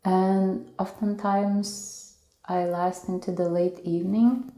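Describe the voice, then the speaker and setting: A young woman from Lithuania, a native Lithuanian speaker, talks slowly and easily about her days. Her voice is low and mellow. The room gives it a noticeable echo. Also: little pitch movement. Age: young adult. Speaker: interviewee. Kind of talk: casual personal account. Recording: echoey room.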